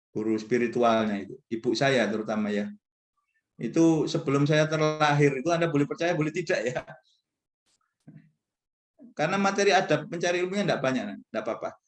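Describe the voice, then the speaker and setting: A man gives an informal religious lecture to students as a professor, in a conversational, rambling, self-deprecating way. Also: fairly high pitch. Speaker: university professor speaking as guest mentor. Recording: echoey, roomy sound.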